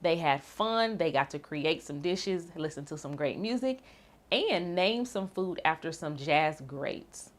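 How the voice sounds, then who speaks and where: A woman reading a children's picture book aloud with a rhythmic, bouncy, expressive delivery. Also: sits low, measured pace. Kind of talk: animated storybook read-aloud.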